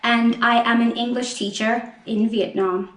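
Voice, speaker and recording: A woman's voice: a little high, fairly even intonation, a little slow. Chatty woman, echoey room. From South Africa. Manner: chatty, upbeat. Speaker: English teacher. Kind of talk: personal storytelling.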